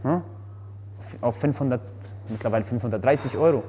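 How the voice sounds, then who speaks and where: A man's voice: mid-range voice, a little fast, dark tone. Young guy, earnest, some echo in a wooden room, faint hiss. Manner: earnest, slightly broken German with self-corrections. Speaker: interviewee, restaurant worker. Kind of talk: interview. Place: wooden mountain hut restaurant, indoors.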